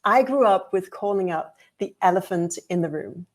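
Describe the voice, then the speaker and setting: A woman's voice: medium pitch, expressive, normal speed. A woman from Denmark giving a frank, structured keynote talk with light humor, over a video call. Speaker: award-winning speaker.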